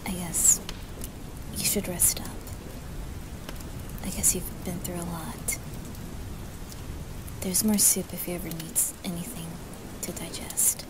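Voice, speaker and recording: A woman voicing a guarded teenage boy in a soft, breathy ASMR roleplay, speaking in a hushed, halting way. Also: lowish voice. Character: wary, guarded teenage boy who softens into apology. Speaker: roleplay voice performer. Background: rain and thunder.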